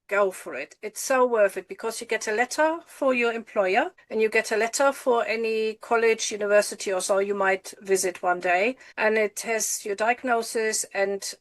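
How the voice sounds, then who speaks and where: A woman's voice: mid-range voice, no echo. A middle-aged woman from Germany, giving earnest advice as a podcast guest. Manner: encouraging, emphatic, repeats herself for stress.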